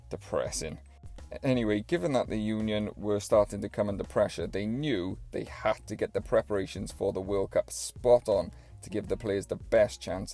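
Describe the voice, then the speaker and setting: Young Welsh male narrator, low voice, chatty asides, faint music behind. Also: gravelly, darkish tone. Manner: chatty storytelling with personal asides. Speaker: documentary narrator and channel host. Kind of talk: casual documentary narration.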